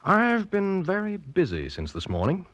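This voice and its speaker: An actor playing a genial, calculating rich uncle in a 1940s radio drama, speaking with polite, measured urbanity. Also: male voice, sits high, huge pitch swings, a bit bright, no room sound, full character voice. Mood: suave, probing.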